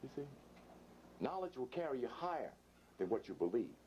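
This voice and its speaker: Man, mellow dark voice, lecturer quizzing listeners, muffled recording, faint noise. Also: medium pitch, very animated, moderate pace. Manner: questioning the audience. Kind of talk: interactive spiritual teaching.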